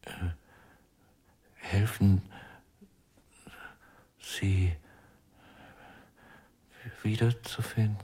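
An actor playing an anguished, broken ventriloquist in a radio crime drama, speaking haltingly in a breathy voice and repeating himself in desperation. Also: male voice, on the low side, steady tone, dark tone. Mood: broken, lost. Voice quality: husky, breathy. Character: anguished, broken male ventriloquist pleading with his puppet.

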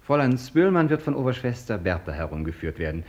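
A man's voice: medium pitch, big pitch swings, quick pace, a little mellow, highs cut off, lightly acted. A man acting an earnest hospital doctor in a German radio play, formal, deferential and hesitant in his wording.

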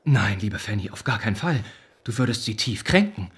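An actor playing a kind, earnest young gentleman in a radio play, speaking gently and formally. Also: lowish voice, big pitch swings, moderate pace, husky, exaggerated voice. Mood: gently persuading. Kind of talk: German radio play acting. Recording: studio radio play with music underneath.

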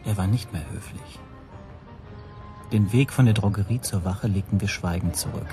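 An actor playing an anxious foreign language teacher who narrates his own story in a measured, introspective radio play style. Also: sits low, mellow, faint music behind. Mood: uneasy, measured. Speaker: first-person narrator.

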